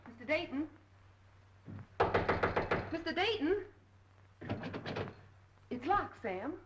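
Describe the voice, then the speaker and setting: An actress playing a polite, coaxing office secretary, soothing and apologetic, in 1930s film style on an old noisy soundtrack. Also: female voice, sits high, big pitch swings, takes it slowly, echoey room, highs cut off, full character voice. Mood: anxious.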